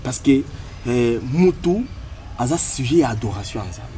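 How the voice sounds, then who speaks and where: A man hosting a gospel web show, interviewing a singer in French mixed with Lingala, with many pauses. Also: medium pitch, animated, warm tone, slight background noise. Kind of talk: casual interview.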